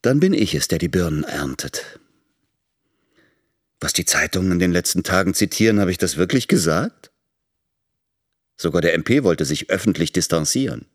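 A self-serving politician in a radio drama, with a low voice that swings widely. He argues his case in calculating rhetorical questions. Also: animated, normal speed, pauses often. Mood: self-justifying. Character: self-serving male politician justifying himself.

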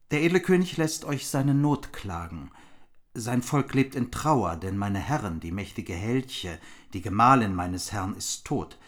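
Casting: a loyal royal envoy, grave courtly delivery. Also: male voice, on the low side. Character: loyal noble envoy of a king, dignified.

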